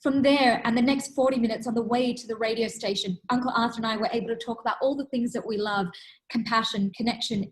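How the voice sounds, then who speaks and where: Earnest high-voiced woman telling stories. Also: rough recording. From Australia. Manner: earnest, storytelling, emphatic. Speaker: keynote speaker. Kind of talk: storytelling keynote talk.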